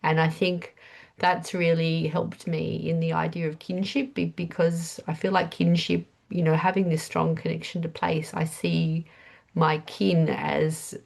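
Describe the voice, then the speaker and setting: A woman's voice: low-pitched, vocal fry, a little mellow. An older Australian woman with a cold, sounding nasal, presenting in a chatty way. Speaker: webinar speaker. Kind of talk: casual presentation.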